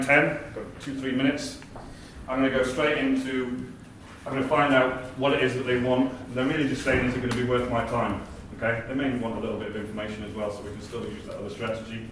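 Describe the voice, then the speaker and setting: A man's voice: medium pitch, level tone, fairly quick, fairly bright tone. A man giving a sales coaching talk at a workshop, acting out both sides of calls. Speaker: workshop speaker. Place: large echoey event hall. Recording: echoey hall, distant mic, faint hiss.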